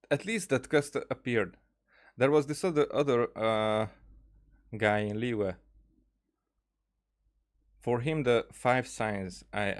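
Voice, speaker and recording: A man giving casual gameplay commentary, thinking aloud in a halting way and often repeating words. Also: medium pitch. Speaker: game streamer.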